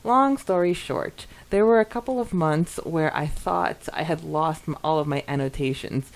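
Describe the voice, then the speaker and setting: A woman co-hosting a podcast in a casual, giggly, expressive way as she reads and reacts to listener comments. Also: deep voice, moderate pace, gravelly. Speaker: podcast co-host.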